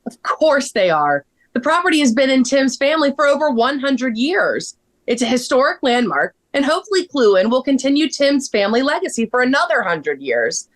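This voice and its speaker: A woman acting a bubbly, excitable young wife in a scripted table read. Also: sits high, normal speed. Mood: bubbly excitement.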